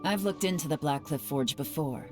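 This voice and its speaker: A woman voicing a shrewd, secretive female spy who speaks in a calm, measured, analytical way, in a game with music under her lines. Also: deep voice, close to an everyday voice. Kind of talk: game voice acting.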